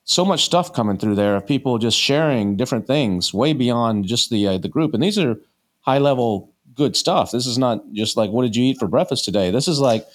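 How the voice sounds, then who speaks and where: A man's voice: medium pitch, lively intonation, normal speed. A man hosting a podcast, asking questions in a casual, rambling way full of filler words. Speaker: podcast host. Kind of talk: casual interview chat.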